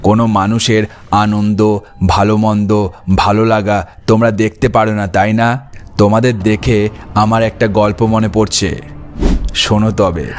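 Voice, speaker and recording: A man's voice: on the low side, a little hiss or hum, close to an everyday voice. A man playing a dignified army colonel telling a tale in a cartoon. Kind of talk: Bengali cartoon story acting.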